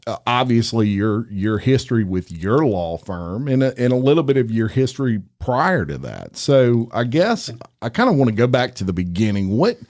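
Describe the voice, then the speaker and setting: An upbeat man hosts a casual podcast interview. He talks in a friendly, chatty way and often trips over his words and restarts sentences. His pitch sits in the middle of the usual range for a man. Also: a little mellow. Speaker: podcast host.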